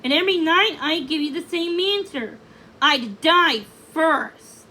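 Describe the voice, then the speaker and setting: Young storybook princess, voiced by a woman reader: gentle, earnest, high and bright. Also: lively intonation, unhurried, heavily acted. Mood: defiant.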